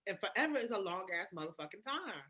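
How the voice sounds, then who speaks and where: A woman's voice: medium pitch, very animated, quick pace, pushed and bright, slightly rough. A woman in a candid casual conversation, speaking emphatically and swearing freely. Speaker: podcast guest.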